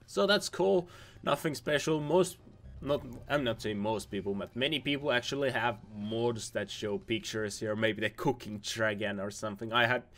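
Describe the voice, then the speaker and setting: Young guy a bit hoarse, chatting over game footage, rambly, says obviously a lot, mid-pitched voice. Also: a bit bright. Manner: rambling, repeats "obviously" and "nothing special", restarts words. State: slightly hoarse, losing his voice. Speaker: gaming video host. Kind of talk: casual gameplay commentary over screen footage.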